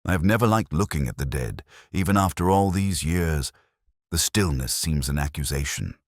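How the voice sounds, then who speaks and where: A man voicing a weary middle-aged detective, narrating in a measured, melancholy, literary way. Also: extremely low pitch, animated, no room sound, studio quality, exaggerated voice. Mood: brooding. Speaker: first-person narrator. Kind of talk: scripted audio drama narration.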